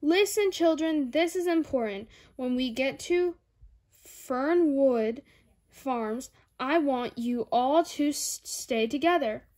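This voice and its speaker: A woman's voice: high-pitched, takes it slowly, a little mellow. A young girl voicing a concerned, firm female rabbit schoolteacher from a storybook, emphatic as she instructs. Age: child.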